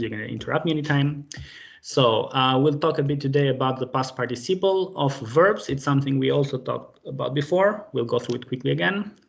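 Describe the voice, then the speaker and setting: Gravelly-voiced man teaching on a video call. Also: medium pitch. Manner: patient, friendly, many fillers. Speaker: online language teacher. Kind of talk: live online group lesson. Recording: dull lo-fi call audio.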